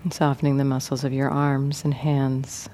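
A woman meditation teacher leading a guided meditation gently and without hurry, leaving many pauses. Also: very deep voice, level tone, slight background noise.

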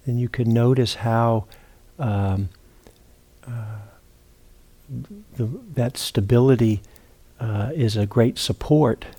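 A man teaching meditation, giving guided instruction in a halting, unhurried way with long pauses and repeated words. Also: medium pitch, steady tone, mellow. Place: meditation retreat center.

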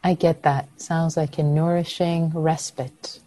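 A woman whose first language is Persian, leading a meditation group discussion over a video call in a soft, nurturing way with frequent affirmations. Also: low-pitched, little pitch movement, a little slow. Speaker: meditation teacher.

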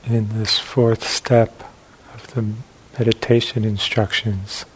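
Very slow-speaking man guiding meditation, hissy recording. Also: on the low side, flat delivery, poor audio. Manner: measured, with long pauses between short phrases. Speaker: meditation teacher. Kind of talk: guided meditation instruction. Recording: hissy live talk recording.

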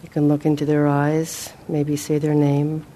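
A woman leading a guided meditation as a teacher, speaking gently and in a measured way with long pauses. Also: sits low, completely flat delivery, a little hiss or hum.

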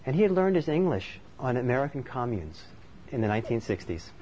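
A man from Norway speaks as a meditation teacher, giving a dharma talk in a thoughtful, unhurried, conversational way. His voice sits at an ordinary middle pitch. The recording sounds slightly muffled, with a faint hiss of background noise underneath. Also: very animated.

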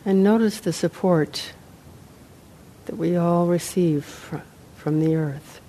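Female meditation guide, lower-pitched and soft-toned mellow voice, unhurried phrases with long pauses, faint rumble behind. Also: rough recording. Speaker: meditation teacher. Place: meditation center, live talk.

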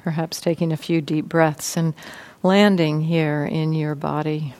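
A woman teaching meditation, guiding a sitting practice in a gentle, unhurried way with long pauses. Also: low voice, level tone. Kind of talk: guided meditation instruction.

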